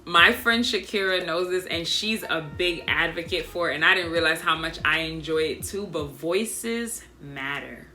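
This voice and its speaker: A young woman vlogger telling a dating story to her viewers in a casual, chatty way, speaking softly without projecting. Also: deep voice, moderate pace, hardly any pauses, soft background music. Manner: soft, doesn't project, monotone by her own account; chatty and animated, addresses viewers as y'all.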